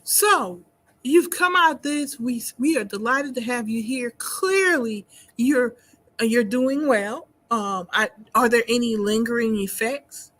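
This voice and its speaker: A woman hosting a talk show, asking questions in a warm, encouraging manner. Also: on the high side, slow pace. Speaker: host. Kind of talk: interview conversation.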